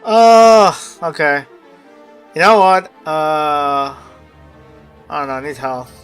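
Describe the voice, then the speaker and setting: A man's voice: fairly high pitch, fairly even intonation, takes it slowly. A man streaming a game, chatting casually and muttering to himself in short, fragmented remarks. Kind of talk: casual livestream gameplay chat. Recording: game music behind the voice. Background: game music.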